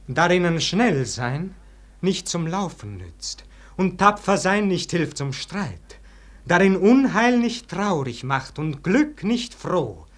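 An actor playing a weary, disillusioned nobleman in a classic German radio verse drama, declaiming solemnly and theatrically, at times falling to hushed tones. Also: fairly high pitch, heavily acted. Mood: world-weary. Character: weary, disillusioned nobleman brooding over an unlived life.

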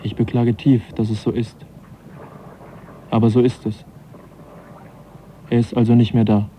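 An actor playing a modest, sceptical young English naturalist in a German radio drama, speaking in a reflective, politely questioning way. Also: male voice, mid-range voice, level tone, measured pace, warm tone, close to an everyday voice. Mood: reflective, regretful. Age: young adult. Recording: dull, faintly noisy radio drama recording.

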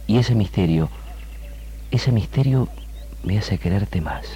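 An actor with an Argentine accent playing a tender, needy lover in a 1960s radio drama, intimate and hushed. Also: male voice, on the low side, expressive, slow, a little hiss or hum. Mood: tender, yearning. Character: tender, needy lover afraid of losing his woman. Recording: old radio drama, music behind.

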